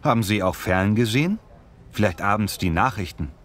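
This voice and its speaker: Male actor as polite survey reporter, deep register, careful scripted questioning for learner audio dialogue. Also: lively intonation, normal speed, a bit bright. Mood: polite, inquiring. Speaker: interviewer. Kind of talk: scripted language-lesson dialogue.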